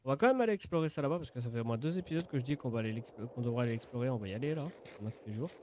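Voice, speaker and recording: A man's voice: mid-range voice, lively intonation, very fast, a little mellow, a little hiss or hum. A man giving casual, informal gameplay commentary as a video creator, often repeating and restarting his phrases.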